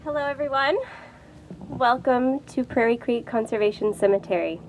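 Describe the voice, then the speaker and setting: Woman with a highish voice, welcoming folks at an outdoor gathering, kinda informal. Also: normal speed, slight background noise, poor audio. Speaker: cemetery staff member giving welcome speech.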